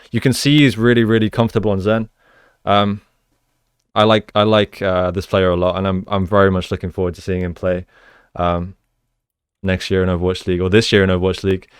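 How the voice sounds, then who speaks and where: A man giving gameplay analysis commentary as a coach, explaining in a measured way and repeating phrases for emphasis. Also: fairly low pitch, level tone, smooth, dry recording, clean studio sound. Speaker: esports coach, video host.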